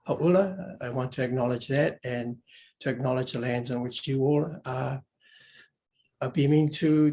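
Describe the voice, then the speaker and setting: A man's voice: medium pitch, vocal fry, darkish tone, a little hiss or hum, low-quality recording. A man from New Zealand on a video call panel, giving a ceremonious formal greeting and introducing himself. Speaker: panelist and researcher.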